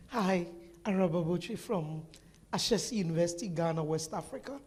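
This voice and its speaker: A woman with a low voice introduces herself on a panel as a university representative. She is plain and matter-of-fact, with faint noise behind her. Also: brisk, darkish tone.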